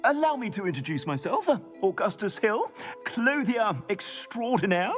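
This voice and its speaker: A man's voice: sits high, sing-song, normal speed, soft background music. A man playing a grateful, florid and courteous clothier in a video game. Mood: grateful, flourishing. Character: grateful, theatrical male shopkeeper and clothier. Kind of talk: video game character acting.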